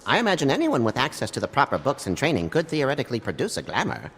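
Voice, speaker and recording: Game servant: fussy, polite bookish oddball, rapid delivery, pitch leaping about wildly, echoing reverb. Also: male voice, wildly swooping pitch, a bit bright, slight background noise. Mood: pedantic. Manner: formal, fussy, pedantic. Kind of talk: video game voice acting.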